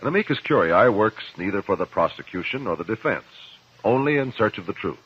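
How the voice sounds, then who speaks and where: An actor in a 1950s radio drama playing a principled cross-examiner, measured, articulate and pressing. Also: male voice, lowish voice, expressive, slightly rough. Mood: calm, explanatory. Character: probing, principled male courtroom cross-examiner. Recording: muffled vintage 1950s radio recording.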